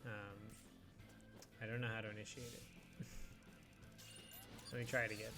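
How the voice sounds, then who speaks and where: A young man with a stuffy nose and a scratchy throat talks through a video game in a loose, rambling way, full of ums and likes. His voice sits at an ordinary middle pitch. Game music plays clearly behind him. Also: little pitch movement, unhurried. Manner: rambling, hesitant with many fillers. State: congested, scratchy throat, coughing. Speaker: let's play host. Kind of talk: casual gameplay commentary.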